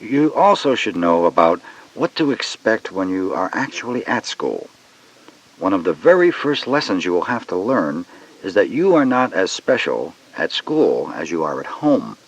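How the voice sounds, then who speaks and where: Man, children's educational narrator, measured, instructive, expressive intonation, dull old cassette recording. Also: sits low.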